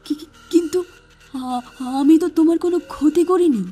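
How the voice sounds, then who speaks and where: A woman voicing a flustered, scolding, easily frightened male village postmaster in an animated horror story. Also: very high-pitched, normal speed, faint music behind, voice pushed up. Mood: terrified. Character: frightened village postmaster man, irritable boss.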